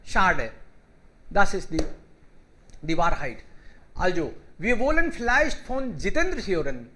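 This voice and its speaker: This is a man with a very high, bright voice who hosts a conversation club over a video call. He speaks in simple, halting sentences and pauses often to welcome the participants. His tone stays clear and bright throughout. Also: sing-song, slight background noise. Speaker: host of an online German conversation club. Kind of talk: casual group conversation in learner German.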